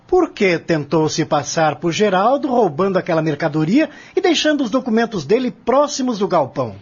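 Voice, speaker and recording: A man playing a stern, formal and insistent courtroom questioner in an audio drama, with a husky voice. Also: sits high, sing-song, moderate pace, lo-fi sound, full character voice. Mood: stern, probing.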